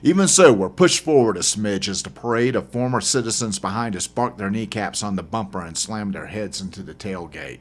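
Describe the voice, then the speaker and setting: A man's voice: deep voice, breathy edge. A man narrating a first-person novel as its author, in a dramatic audiobook style.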